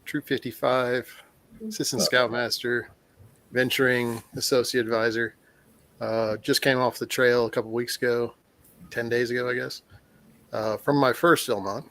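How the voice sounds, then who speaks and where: A man's voice: medium pitch, little pitch movement, moderate pace, a bit bright, no room sound. Matter-of-fact man from Texas. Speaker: assistant scoutmaster.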